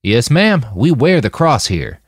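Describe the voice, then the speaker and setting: Audiobook role, male narrator: polite devout young guardsman, drawling, animated, dry sound. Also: medium pitch, measured pace, no echo, voice pushed up. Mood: polite, pious.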